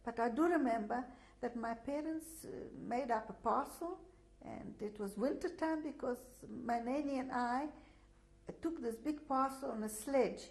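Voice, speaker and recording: An older woman, a native Russian speaker, giving an oral history testimony, pausing often with fillers and restarts. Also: on the high side, darkish tone. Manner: hesitant, many fillers and restarts. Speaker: interviewee.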